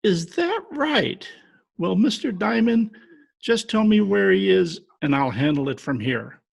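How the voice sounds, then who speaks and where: An actor playing a slow-witted, plaintive lovelorn man in an old-time radio detective drama. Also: high voice, sing-song, vocal fry, slightly rough, heavily acted. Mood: indignant.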